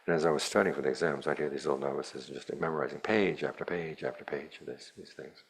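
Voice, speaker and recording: A man, a Buddhist monk, giving a plainspoken, measured dharma talk to a retreat group with a dry, wry touch. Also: very deep voice, husky.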